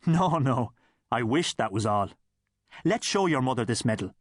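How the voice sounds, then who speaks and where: Audiobook father, read by a man: steady, kindly, measured, mid-pitched, with a dry sound. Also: no room sound, close to an everyday voice. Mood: gently serious. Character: kindly, steady working father breaking news gently.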